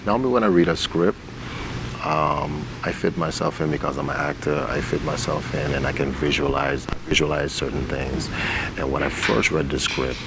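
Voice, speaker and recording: A man answering interview questions in a halting, thoughtful, conversational way, speaking as someone who directs and acts in stage plays. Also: level tone, vocal fry. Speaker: stage play director and actor. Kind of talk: interview answers about a community stage play. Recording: noisy recording with rumbling ambience.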